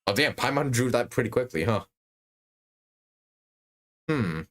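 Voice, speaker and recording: A man's voice: medium pitch, animated, unhurried, a bit bright. A man streaming a game, thinking aloud in casual commentary and reading on-screen text. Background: game music.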